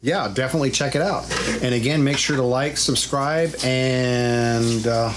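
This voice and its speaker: A middle-aged man in his home kitchen giving a casual food review, chatty and relaxed with lots of ums. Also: mid-range voice, measured pace. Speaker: vlog host. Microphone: fixed camera mic at mid distance. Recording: noisy home recording.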